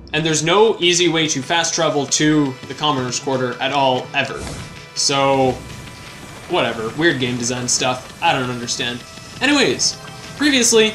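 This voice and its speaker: A young man narrates his gameplay in a loose, chatty way and jokes at his own expense. His voice sits a little high and jumps up on exclamations. Game music plays clearly under his voice. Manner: chatty, self-deprecating, bouncy exclamations. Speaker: let's play host. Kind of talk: casual gameplay commentary.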